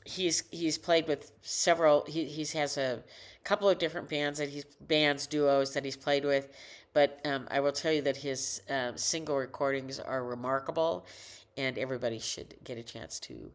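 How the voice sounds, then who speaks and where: An older woman with a deep, low alto voice rambles into a desk microphone at home. She keeps stopping, restarting and correcting herself as she chats in a loose personal monologue. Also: steady tone. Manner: rambling, halting, many restarts and self-corrections. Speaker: vlogger.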